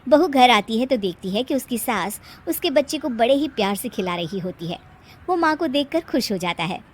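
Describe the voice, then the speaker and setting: A woman's voice: fairly high pitch, animated, moderate pace, slight background noise. A woman narrating a scripted moral story as a storyteller.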